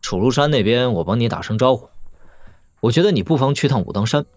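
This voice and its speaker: A man playing an assured young lord and master swordsman in a Chinese wuxia audio drama, measured, analytical and dryly witty. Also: mid-range voice, animated, a little mellow, no room sound, clean studio sound, close to an everyday voice. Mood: wry, teasing.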